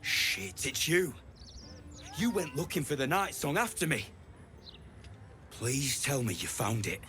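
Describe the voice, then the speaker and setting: An actor playing a gruff, blunt, hot-tempered but weary mercenary in a fantasy video game. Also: male voice, medium pitch, big pitch swings, takes it slowly, slight background noise, full character voice. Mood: bitter, urgent. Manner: blunt, swearing, forceful. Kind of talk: video game character acting.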